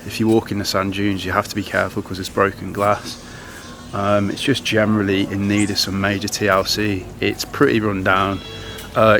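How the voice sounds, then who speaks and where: A man's voice: lowish voice, a bit bright. A young man chatting casually to a handheld camera as a travel vlogger, rambling with lots of fillers. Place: outdoors in towns and on the road. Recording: outdoor camera audio with noise.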